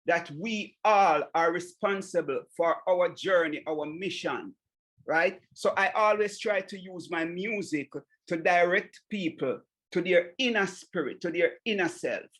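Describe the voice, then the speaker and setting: A Jamaican man, a singer, answering interview questions in a relaxed way and often adding "you know what I mean". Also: sits high. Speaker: singer and songwriter guest.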